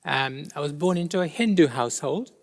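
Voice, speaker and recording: A middle-aged man from Kenya whose first language is Gujarati, answering interview questions thoughtfully with many pauses. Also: a little high. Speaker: interview guest. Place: large church. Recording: long reverb of a large church hall.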